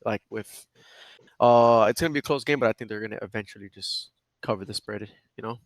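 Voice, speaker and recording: A man in his twenties co-hosting a podcast, chatting casually and relaxed about sports bets. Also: lowish voice, brisk, dry recording, rough recording. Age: 20s. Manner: relaxed, laid-back, lots of fillers. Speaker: podcast co-host.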